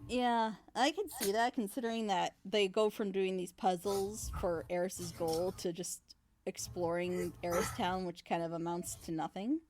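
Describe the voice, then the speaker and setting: A woman's voice: medium pitch, fairly even intonation, measured pace, a little mellow. A woman hosting a casual group critique, giving her opinions and explaining them at length. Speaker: host of a panel commentating on audio dramas. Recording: home mic with clear background noise.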